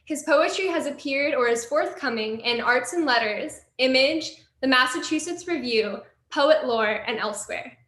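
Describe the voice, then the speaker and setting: A woman hosting a poetry reading, reading formal introductions of poets in a measured, polished way. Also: high voice, fairly bright tone. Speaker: event host. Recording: roomy echo.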